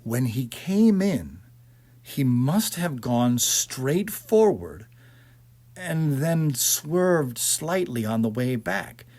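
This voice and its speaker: A man's voice: medium pitch, very animated, extremely slow, a little mellow. A man narrating a suspense story in a dramatic, theatrical manner with hesitant phrasing. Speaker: audiobook narrator.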